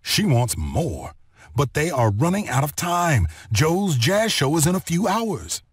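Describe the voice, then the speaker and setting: Guy reading a kids book out loud, really animated, bit husky, close dry sound. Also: a little high, dry recording. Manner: expressive, deliberate storytelling delivery with clear phrasing. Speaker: storybook narrator. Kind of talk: scripted read-along children's story narration.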